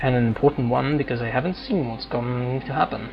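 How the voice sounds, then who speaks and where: A man doing casual game commentary, thinking aloud in halting fragments as he plays. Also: medium pitch, muffled recording. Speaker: gaming video host playing and commenting.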